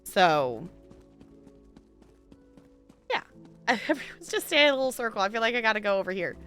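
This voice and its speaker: A woman's voice: on the high side, sing-song, normal speed, fairly bright tone, faint music behind. A woman game streamer chatting casually with her viewers, rambling a little and laughing easily. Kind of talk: casual live-stream chat while gaming.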